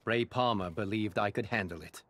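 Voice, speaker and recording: A man's voice: on the low side, normal speed, natural voice. A man voicing an earnest, quirky, nerdy superhero scientist in polite video game fight banter. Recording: faintly noisy game audio.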